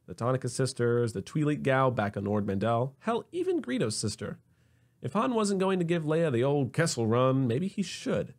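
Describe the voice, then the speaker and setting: A man narrating a scripted comedic sci-fi story in an expressive, wry manner. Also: mid-range voice, normal speed.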